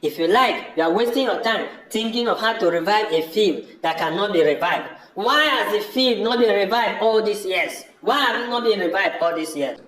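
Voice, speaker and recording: A man's voice: high voice, expressive, moderate pace, hardly any pauses, fairly bright tone. A man with a Nigerian accent playing a stubborn, fed-up village brother in an amateur audio drama, dismissive and insistently repeating himself. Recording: echoey room.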